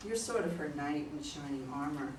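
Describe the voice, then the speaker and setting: Worried, apologetic woman client acted by a woman, stage reading, lower pitch, far from mic. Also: lively intonation, moderate pace, darkish tone. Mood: polite, chatty. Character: worried female client seeking help, apologetic. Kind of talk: amateur staged play reading. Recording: echoey room, distant mic, faint noise.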